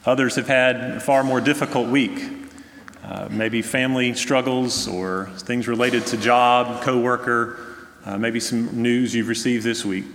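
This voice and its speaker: A man's voice: medium pitch, steady tone, fairly bright tone, slight background noise. Earnest male pastor in echoing church. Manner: earnest, measured, with frequent "uh" fillers. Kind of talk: sermon with pastoral prayer concerns. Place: church sanctuary. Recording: long church reverb.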